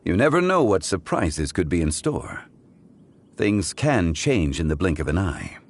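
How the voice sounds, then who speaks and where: Sage young gentleman for a game: low voice, formal, richly varied intonation. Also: big pitch swings, full character voice. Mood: gentle, philosophical. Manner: formal, measured, philosophical. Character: calm, courteous, wise gentleman who seems young. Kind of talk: video game voice acting.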